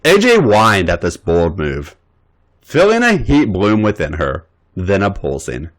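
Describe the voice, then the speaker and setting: Expressive male story reader. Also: fairly low pitch, unhurried, very noisy. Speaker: podcast host and reader. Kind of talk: reading fan fiction aloud.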